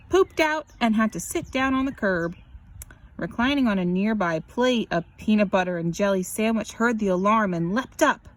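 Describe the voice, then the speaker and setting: Woman reading a children's story expressively. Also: medium pitch. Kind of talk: expressive scripted picture-book narration.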